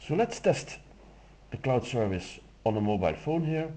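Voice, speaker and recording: A man whose first language is Dutch, explaining a software demo step by step in a relaxed way with some fillers. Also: mid-range voice, moderate pace. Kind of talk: screen-recorded software walkthrough.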